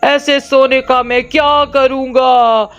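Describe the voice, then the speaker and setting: Woman acting a wailing remorseful king, high-pitched. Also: steady tone, a bit bright. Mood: weeping, pleading. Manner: wailing, pleading, drawn-out delivery. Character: grieving remorseful king, doting father of a princess. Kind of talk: Urdu cartoon storybook dialogue. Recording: dull lo-fi audio with music behind.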